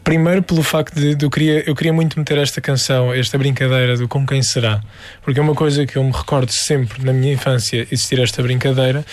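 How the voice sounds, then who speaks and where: A man's voice: mid-range voice, level tone, fast, no echo. A man from the Algarve with a European Portuguese accent, a musician answering interview questions with many fillers and restarts. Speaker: musician guest.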